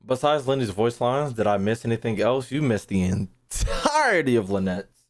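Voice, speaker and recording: A man's voice: mid-range voice, sing-song, moderate pace. A man giving casual gaming-stream reaction commentary, slangy and profane, often repeating himself.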